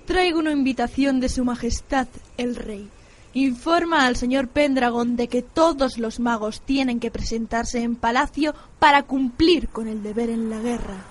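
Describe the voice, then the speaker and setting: Courteous court messenger voiced by a woman, somewhat high, official manner, radio drama with faint noise. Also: normal speed, lightly acted. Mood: formal. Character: formal, courteous royal messenger.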